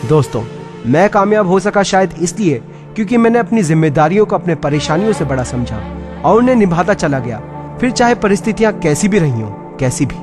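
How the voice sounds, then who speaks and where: A man's voice: high-pitched, big pitch swings, a little fast. A man reading the life story of a determined businessman as a scripted first-person narration, with music behind. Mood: earnest, resolved. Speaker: presenter reading a profile.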